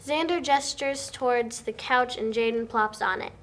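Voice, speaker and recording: Higher-voiced woman reading stage directions, noisy recording. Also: moderate pace, a bit bright. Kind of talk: scripted narration at a table read. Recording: noticeable background noise, amateur room recording.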